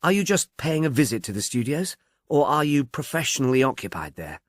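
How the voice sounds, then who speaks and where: A man's voice: medium pitch, very animated, brisk, no echo, studio quality, pitched above the performer's own voice, natural voice. A man voicing an amiable, slightly obtuse police detective in an audiobook, chatty and musing, earnestly puzzled. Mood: genial, musing. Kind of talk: audiobook character dialogue.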